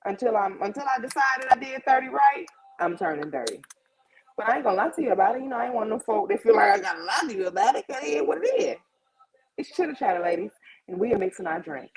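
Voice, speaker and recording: A woman's voice: on the high side, expressive, quick pace, crowd noise. A woman of about thirty hosting a casual livestream, chatting informally and slangily straight to her audience. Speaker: host of a live chat show.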